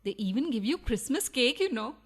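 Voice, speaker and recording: A woman's voice: on the high side, big pitch swings, fairly quick, slightly rough. A woman playing a burglar's jealous, fiery wife in a farcical radio play, melodramatic and challenging. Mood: fussing, apologetic.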